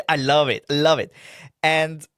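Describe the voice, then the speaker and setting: A man's voice: a little high, fairly even intonation, slow pace, fairly bright tone, smooth, dry recording, studio quality. A young man from Hungary with a Hungarian accent, chatting bluntly and playfully as a podcast co-host. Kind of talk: casual podcast chat.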